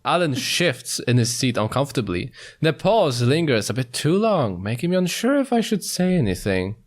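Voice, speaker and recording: Male let's play narrator, medium pitch, dramatic story reading, soft music behind. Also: sing-song, normal speed. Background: faint music.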